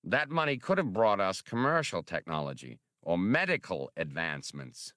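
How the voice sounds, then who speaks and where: A man's voice: deep voice, very animated, moderate pace, a bit bright, dry recording, studio quality. A man voicing a thin, solemn alien scholar in an audiobook, speaking formally and earnestly. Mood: solemn, explaining. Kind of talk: audiobook character reading.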